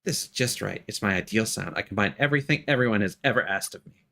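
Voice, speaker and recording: A man's voice: lowish voice, lively intonation, fast talker, vocal fry, sharp tone, voice pushed down, exaggerated voice. Man reading as an earnest young female musician. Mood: earnest, insistent. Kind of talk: reading game dialogue aloud.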